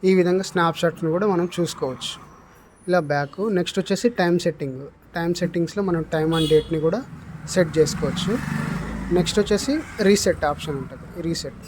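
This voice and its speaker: Man, slightly high pitch, explanatory demo style, English technical terms, background noise. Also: a little fast. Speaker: product demonstrator. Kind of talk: unscripted product demonstration. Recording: clear background noise, room ambience.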